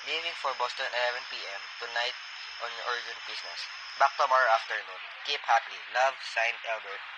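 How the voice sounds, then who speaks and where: Telegram clerk, male actor: mid-range voice, courteous, impersonal recital, radio drama, clearly noisy sound. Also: mid-range voice, a little fast, lightly acted. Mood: businesslike. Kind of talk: amateur radio play acting.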